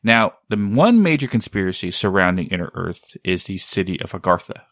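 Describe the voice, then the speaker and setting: Low-voiced guy rambling casually, keeps hunting for words, dry close sound. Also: big pitch swings, a little fast, fairly bright tone, no room sound. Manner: rambling, often searching for words. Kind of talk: casual chat.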